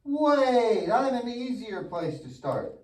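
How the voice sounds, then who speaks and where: A man's voice: very high voice, big pitch swings, takes it slowly, heavily acted. A man playing a goofy, lazy, mischievous young boy puppet in a church show, with an exaggerated, wisecracking delivery. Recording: echoey room, distant mic.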